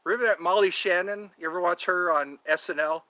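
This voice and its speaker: A man's voice: high voice, a little fast, bright, forceful tone, dry recording. A man in his fifties hosting a casual livestream, chatting to viewers in a meandering way and slipping into quoted voices. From United States. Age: 50s. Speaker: livestream host.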